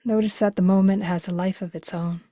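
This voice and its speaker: A woman leading a guided meditation slowly, in a gentle, hushed, breathy voice with long pauses. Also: mid-range voice, fairly even intonation, dry recording. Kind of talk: guided meditation, slow and spacious.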